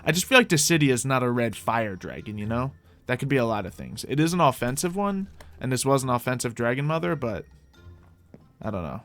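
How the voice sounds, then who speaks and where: A man's voice: mid-range voice, big pitch swings, brisk, fairly bright tone, soft background music. A man giving casual, rambling gameplay commentary as a video host, thinking aloud in a playful way. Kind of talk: casual rambling Minecraft let's play commentary.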